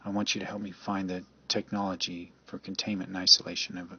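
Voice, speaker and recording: A man's voice: deep voice, level tone, fast, sharp tone, husky, dull audio, full character voice. Man playing stammering, desperate amateur detective. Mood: nervous, earnest. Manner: stammering, urgent. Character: nervous, pleading, obsessed amateur detective on the phone.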